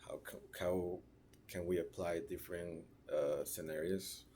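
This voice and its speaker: A man gives a class presentation. He pauses often and searches for words, checking in with short tag questions as he goes. A faint hiss of noise sits under the recording. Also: low voice, flat delivery, mellow. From Mexico (raised in Ciudad Juárez). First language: Spanish. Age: 30s. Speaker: registered nurse.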